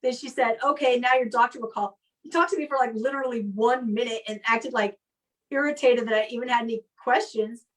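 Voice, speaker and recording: A high-pitched woman hosts a casual comedy chat. She talks in an animated, chatty way, and her mic sounds uneven and a bit far off. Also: a little fast. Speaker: comedy show host. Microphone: live video call.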